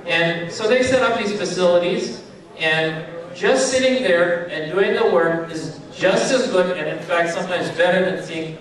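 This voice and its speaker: Middle-aged male speaker, chatty with the audience, distant PA recording in a very echoey hall. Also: high-pitched. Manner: conversational, checks in with audience, many fillers. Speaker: speaker at a charity event. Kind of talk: informal speech to an audience. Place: event hall.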